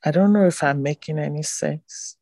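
A hoarse woman from a church congregation giving a halting, reflective comment over a video call. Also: low-pitched, dark tone, no echo. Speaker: congregation member. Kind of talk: spoken comment during online service.

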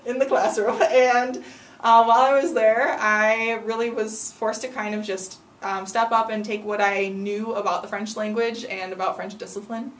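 A woman's voice: fairly high pitch, fairly even intonation, normal speed, echoey room, recorded from a distance. A young woman in her twenties, a student teacher, answering interview questions earnestly with frequent ums. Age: 20s.

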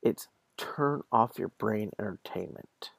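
A man hosting a podcast, reading an article aloud with commentary in a halting delivery that has stumbles and self-corrections. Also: lowish voice, vocal fry, fairly bright tone, breathy edge, no echo. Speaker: podcast host.

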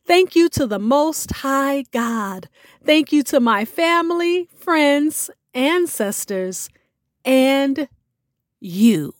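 A woman hosting a podcast, speaking in scripted, deliberate and theatrical phrases as she presents and recites. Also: high voice, lively intonation, no room sound, studio quality. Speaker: podcast host. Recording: music behind the voice. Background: music.

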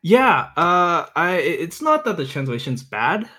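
A man's voice: on the high side, big pitch swings, measured pace, pushed and bright. A young man appearing as a podcast guest, chatting casually in an informal, opinionated way. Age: young adult. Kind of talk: casual chat.

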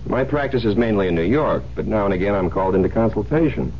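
A genial, self-assured young husband and doctor in a radio play. His voice is lowish with lively inflection, on a dull, humming old recording. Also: fast, a little hiss or hum. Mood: genial, amused. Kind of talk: 1970s radio drama acting.

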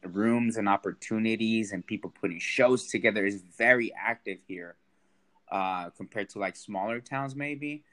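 Chatty young man, low-voiced. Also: normal speed, bright, forceful tone. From Sacramento, United States. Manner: chatty, self-deprecating, laughs often. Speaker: guest, stand-up comedian. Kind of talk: casual podcast chat.

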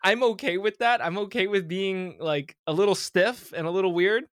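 A man's voice: high voice, moderate pace, a bit bright, no echo, studio quality. A young man hosting a podcast, talking casually with lots of fillers and restarts. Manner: rambling, many fillers and restarts. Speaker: podcast host.

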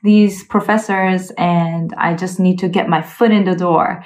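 A woman's voice: lowish voice, steady tone, measured pace, a little mellow. Young woman musician, thoughtful and hesitant. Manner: thoughtful, hesitant, many fillers and restarts. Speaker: interview guest, cellist. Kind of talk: casual conversational interview.

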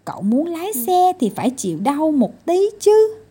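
A woman's voice: high voice, big pitch swings, moderate pace, warm tone, voice pushed up. A woman voicing a tiny male doctor in a children's tale, patient and coaxing but mildly exasperated. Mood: coaxing, reassuring. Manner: coaxing and scolding.

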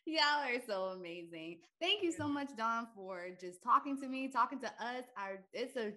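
A woman's voice: fairly high pitch, lively intonation, normal speed, bright, forceful tone. A woman hosting a podcast interview, encouraging and enthusiastic toward her guest. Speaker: podcast host.

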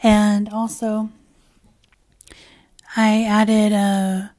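Hoarse, raspy woman podcast host. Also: medium pitch, level tone, very slow, warm tone, no room sound, clean studio sound. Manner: rambling and candid, with frequent fillers and restarts; swears casually. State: hoarse, losing her voice. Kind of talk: casual solo podcast chat.

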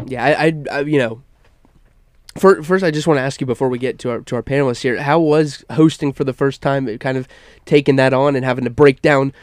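Stuffy-nosed young college radio host. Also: male voice, mid-range voice, fairly quick, no room sound. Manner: upbeat broadcast patter with frequent stammered restarts. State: stuffy nose. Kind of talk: sports talk radio hosting. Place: campus radio studio.

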